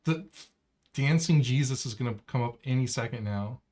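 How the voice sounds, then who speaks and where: A man's voice: medium pitch, moderate pace, darkish tone. A man with an American accent co-hosting a podcast in casual, slangy banter peppered with "like" and "man". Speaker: podcast co-host.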